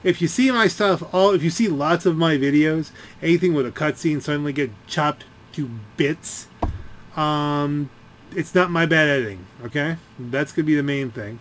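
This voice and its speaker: A man with a slightly higher-pitched voice talks casually as he plays a video game. He rambles and halts, working out his thoughts aloud. Some noise sits behind his voice. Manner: halting, rambling, thinking aloud. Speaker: gaming video creator. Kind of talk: rambling casual gameplay commentary.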